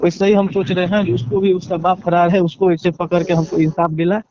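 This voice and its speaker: A man with a Bihari accent giving a halting, deferential account in a phone interview, leaning on repeated filler phrases. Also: high-pitched, little pitch movement, mellow. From Bihar, India. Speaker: interviewee, relative seeking justice. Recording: dull lo-fi phone call.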